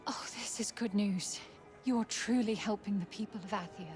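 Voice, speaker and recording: A woman's voice: medium pitch, moderate pace, darkish tone, natural voice. An actress playing an earnest fantasy townswoman who speaks in a formal, solemn, old-fashioned way in a video game. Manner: formal, archaic, solemn phrasing. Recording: game music behind the voice.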